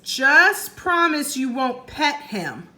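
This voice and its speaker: A woman's voice: high-pitched, very animated, takes it slowly, sharp tone, voice pushed up, heavily acted. A woman voicing a cautious, bookish young boy in a storybook, with an urgent, exasperated, bossy manner.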